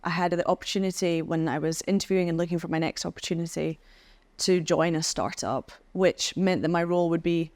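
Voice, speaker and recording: A woman from the UK, a podcast guest, speaking thoughtfully with frequent pauses and restarts. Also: fairly low pitch, fairly even intonation, darkish tone, dry recording. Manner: thoughtful, hesitant with many ums and restarts. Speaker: podcast guest, head of communications. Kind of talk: casual interview chat.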